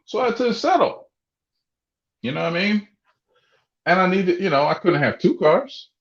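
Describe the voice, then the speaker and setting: A man's voice: sits high, lively intonation, moderate pace. A man hosting a sports talk show, rambling and interrupting himself with many restarts. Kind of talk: casual sports radio banter. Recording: echoey room, dull muffled sound.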